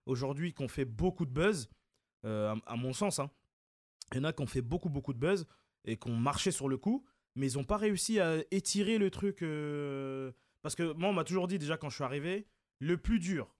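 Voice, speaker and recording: A man's voice: on the high side, animated, fairly quick, no room sound, clean studio sound. A man in his thirties, a comedian, talking loosely in an interview with many fillers and restarts. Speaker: comedian and video creator. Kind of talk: relaxed interview talk.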